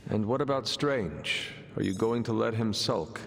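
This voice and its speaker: An earnest, measured warrior from a superhero game. The voice is deep and gravelly, with formal phrasing. It echoes in a large space with music behind. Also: male voice, expressive, slight background noise. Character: calm, formal resurrected warrior hero, a man. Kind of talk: video game cutscene acting.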